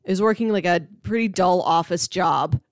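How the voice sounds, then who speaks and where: A woman's voice: lowish voice, moderate pace, dry recording. Dry-recorded woman in wry, casual podcast chat. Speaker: movie podcast co-host.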